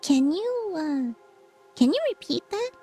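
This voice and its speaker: A woman's voice: high voice, sing-song, takes it slowly, dark tone, soft background music, full character voice. A woman voicing a feisty, cute small flying fox companion in a video game, sassy and emphatic. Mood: earnest.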